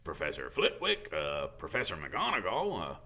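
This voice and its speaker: A man acts out a big, gruff gamekeeper from a storybook. He is secretive and bumbling, mumbling through hesitations. The voice swings widely in pitch and has a bright, forceful edge. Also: huge pitch swings, moderate pace, heavily acted. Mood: pondering, relenting. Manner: hesitant, mumbling with many uhs.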